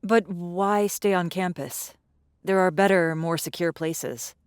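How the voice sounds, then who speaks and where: A guarded, athletic survivor woman, in an audio drama. She speaks in a lowish, dry voice, blunt and wary, with world-weary bitterness. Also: slow pace, smooth, no room sound. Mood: wary, probing.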